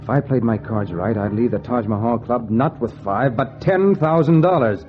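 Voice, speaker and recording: An actor playing a cocky, money-hungry gambler in a 1940s radio noir, with clipped, wry, slangy delivery. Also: male voice, medium pitch, normal speed, close to an everyday voice. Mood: wry, tense. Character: hard-boiled, cocky male gambler, broke and money-hungry. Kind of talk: 1940s radio noir acting, first-person narration. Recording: dull vintage radio recording with music. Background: music.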